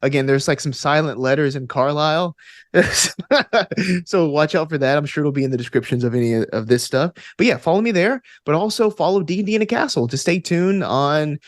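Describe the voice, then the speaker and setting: A man's voice: on the high side, fairly quick, no echo. Earnest, encouraging man in close, dry conversation. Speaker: podcast guest, actor and game master. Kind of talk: casual, reflective interview conversation.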